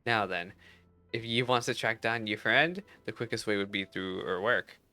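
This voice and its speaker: A man's voice: lowish voice, moderate pace, bright, forceful tone, no echo. A man voicing a plain-spoken, helpful tavern keeper from a fantasy game, with folksy old-fashioned phrasing. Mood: helpful, matter-of-fact.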